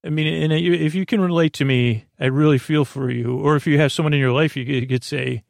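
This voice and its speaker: A man hosting a sleep podcast, rambling casually through goofy, self-deprecating stories in a halting, meandering way. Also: on the high side, steady tone, vocal fry, no echo, studio quality. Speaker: podcast host.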